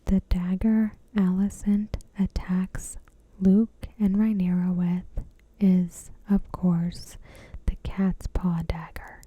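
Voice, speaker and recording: A woman giving ASMR commentary as a host, in a soft, breathy whisper with a gentle, measured, deliberate delivery. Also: fairly low pitch, no room sound. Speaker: video host.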